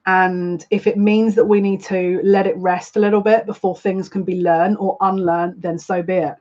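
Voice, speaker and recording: A woman's voice: mid-range voice, little pitch movement, moderate pace, a little mellow. A woman speaks earnestly and confidingly, circling back to repeat her own phrases as she gives a live spiritual reading. Her voice sits in a middle register. The livestream audio is a little dull and lo-fi. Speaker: psychic livestream host.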